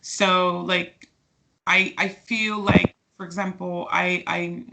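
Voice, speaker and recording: A woman's voice: on the low side, little pitch movement, slow pace, gravelly, noisy background. A woman in her twenties from Portugal, speaking casually as an interview guest, with many fillers and restarts. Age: 20s.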